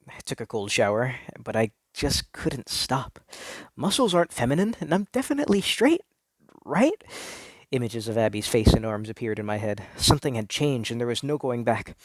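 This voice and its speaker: A man's voice: medium pitch, no room sound, close to an everyday voice. A man acts out an insecure, traditional-minded male gamer telling his story as a melodramatic first-person confession. He is earnest and theatrical, dragging out key words and turning indignant as he tries to convince himself. The voice is recorded close and dry. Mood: entranced, then panicked denial.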